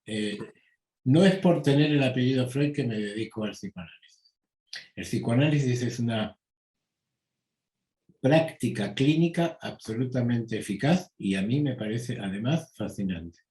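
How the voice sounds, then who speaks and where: An older man with an Argentine Spanish accent, a psychoanalyst answering interview questions slowly and deliberately, with frequent pauses and fillers. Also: medium pitch, animated, warm tone, reverberant, low-quality recording. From United States. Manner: slow, measured, with many pauses and fillers. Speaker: psychoanalyst guest.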